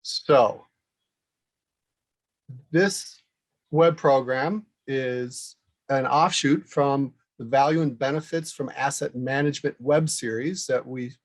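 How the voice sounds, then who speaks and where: A man hosting a webinar, presenting in a measured, somewhat halting way with pauses. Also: medium pitch. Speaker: host and moderator, association director. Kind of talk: webinar presentation, semi-scripted.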